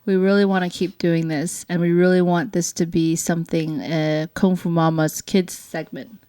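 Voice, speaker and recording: Slightly low-voiced young woman, casual chat. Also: flat delivery, normal speed, dark tone. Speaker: parent co-hosting a family show.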